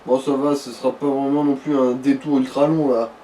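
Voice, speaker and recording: A man's voice: mid-range voice, little pitch movement, a little fast, warm tone. A man co-commentating a game in casual chat, with a dry, teasing manner. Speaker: let's play co-commentator. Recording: distant mic, faint background noise.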